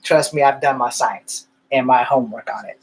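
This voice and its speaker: Husky-voiced man telling stories. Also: medium pitch, fairly even intonation, normal speed. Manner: rambling, candid, swears casually. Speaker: vlogger. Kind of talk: casual storytelling monologue.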